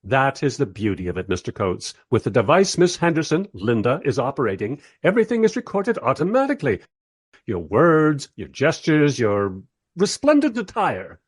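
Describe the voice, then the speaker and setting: An actor playing a long-suffering documentary director in a radio play, narrating in a dry, sardonic, conversational and diplomatic way. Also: male voice, mid-range voice, huge pitch swings, normal speed, breathy edge, no room sound. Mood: placating. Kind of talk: first-person radio play narration and dialogue.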